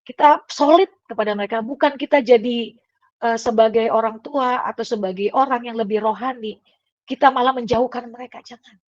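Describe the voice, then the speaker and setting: A woman's voice: a little high, brisk. A middle-aged woman giving a casual devotional sermon in a conversational way, with fillers and tag questions, coughing now and then. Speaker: devotional speaker. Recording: dull, dry, lo-fi recording.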